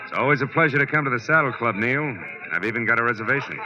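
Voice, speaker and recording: A man's voice: medium pitch, animated, fast talker, close to an everyday voice. An actor playing a wry, hard-boiled American private detective, narrating his case in a terse, sardonic way in a 1940s radio drama. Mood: dry. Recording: muffled old radio recording with loud music.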